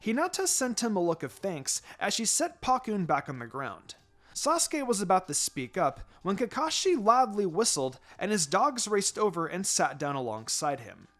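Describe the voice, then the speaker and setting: Male storyteller for scripted fan fiction; animated delivery, music bed underneath. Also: high-pitched. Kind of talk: expressive scripted story narration.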